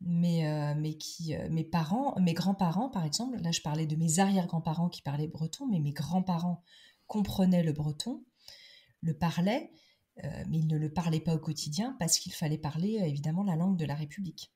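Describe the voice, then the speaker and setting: A woman's voice: low-pitched, a little fast, dark tone. A middle-aged woman giving a conversational interview as a podcast guest, thoughtful, with many hesitations and restarts. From France.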